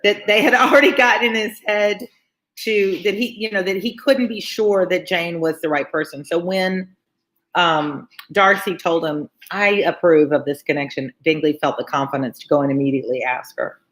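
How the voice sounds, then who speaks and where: A woman's voice: lowish voice, normal speed, fairly bright tone. A woman tutoring on a live read-along of a classic novel, reading aloud and adding informal explanatory asides for listeners.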